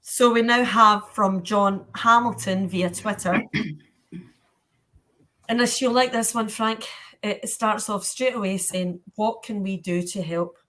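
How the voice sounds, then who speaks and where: A woman's voice: mid-range voice, a bit bright. A Scottish woman hosting a panel Q&A in a chatty, colloquial, encouraging way. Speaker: panel host.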